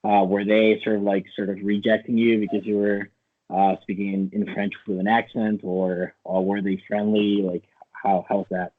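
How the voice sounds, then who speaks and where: A man's voice: on the low side, level tone, moderate pace. A man hosting a casual interview, asking meandering, loosely phrased questions over a thin, narrow-band connection. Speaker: interviewer, host.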